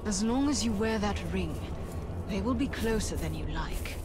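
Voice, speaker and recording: An actress playing a stern, wary warrior woman in a fantasy game, speaking in a grave, measured way. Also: medium pitch, expressive, loud music behind the voice, close to an everyday voice. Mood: grim warning. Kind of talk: dramatic fantasy game acting.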